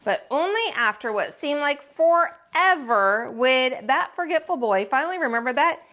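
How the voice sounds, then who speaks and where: A woman with a fairly high voice reads a children's story aloud. Her pitch swings widely as she leans into folksy phrasing. She gives dramatic weight to key words, like a teacher at storytime. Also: moderate pace. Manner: folksy, expressive, dramatic emphasis on repeats. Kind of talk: animated read-aloud storytelling.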